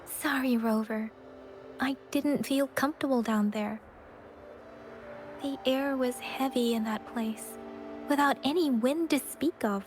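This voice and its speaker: An actress voicing a gentle, empathetic young woman in a video game, with a soft, measured, solemn delivery. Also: high voice, slight background noise. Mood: sorrowful, reflective. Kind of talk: video game story dialogue. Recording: music behind the voice.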